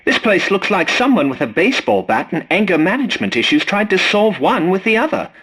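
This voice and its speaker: A fussy, swooping robot butler. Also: male voice, high-pitched, sing-song, brisk, fairly bright tone, breathy edge, exaggerated voice. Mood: dry, grumbling. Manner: prim, formal, dryly sarcastic. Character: fussy, polite, snarky robot scavenger butler. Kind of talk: scripted video game character lines.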